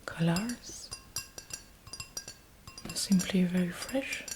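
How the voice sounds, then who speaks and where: A woman with a French accent softly whispering an ASMR shop roleplay in a breathy, gentle way, as if serving a customer. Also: lowish voice, very slow. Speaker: ASMR host playing a beer shop assistant.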